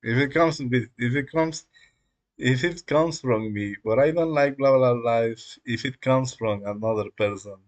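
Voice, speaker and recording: A man whose first language is Spanish, chatting casually on a live coding stream in a rambling, halting way, with many fillers and small chuckles. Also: medium pitch. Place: dark room at home.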